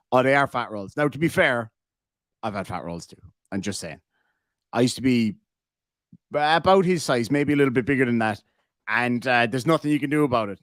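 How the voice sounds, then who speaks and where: A man's voice: medium pitch, fairly bright tone, dry recording, studio quality. A man with an Irish accent hosting a live stream, joking sarcastically and reading out viewer messages. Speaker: livestream host reacting to videos. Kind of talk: casual live commentary.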